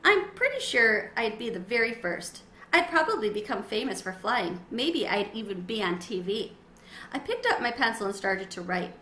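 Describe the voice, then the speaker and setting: A middle-aged woman giving an expressive, dramatized read-aloud of a children's story. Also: fairly high pitch, normal speed. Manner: animated, dramatizing. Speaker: storybook reader. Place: small sunroom with windows, indoors. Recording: roomy echo, faint background noise.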